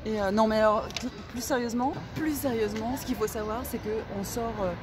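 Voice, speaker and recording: A woman's voice: a little high, fairly even intonation, a little fast, music in the background. A woman chatting casually and playfully as a podcast host, recorded outdoors while walking along a street. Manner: playful, bantering. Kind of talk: casual chat. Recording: clear urban outdoor noise, passing traffic.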